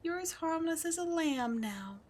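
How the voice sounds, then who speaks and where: A woman voicing a seductive, scheming female demon queen in an audio drama, teasing and taunting, dropping into breathy whispers. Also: very high-pitched, animated, unhurried, darkish tone.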